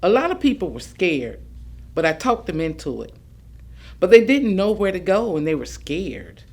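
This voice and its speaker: Lady acting plucky older woman doing a stage monologue, folksy n emphatic, really animated pitch. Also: moderate pace. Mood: earnest recollection. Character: plucky, persuasive older woman voter-registration volunteer. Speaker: stage actress performing oral history. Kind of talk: dramatic monologue, staged reading.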